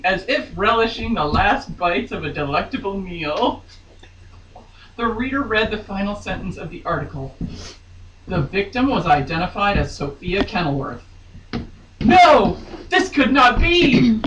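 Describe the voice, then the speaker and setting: A woman's voice: mid-range voice, fairly bright tone. A woman co-hosting a podcast, giving a hammy, mocking dramatic reading of a novel with chatty, jokey asides. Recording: roomy, distant mic with faint noise.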